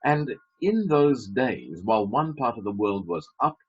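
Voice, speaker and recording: A man's voice: mid-range voice, sing-song, measured pace, husky. A man preaching a sermon as a pastor, emphatic, with wry asides. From Britain. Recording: dull lo-fi sermon recording.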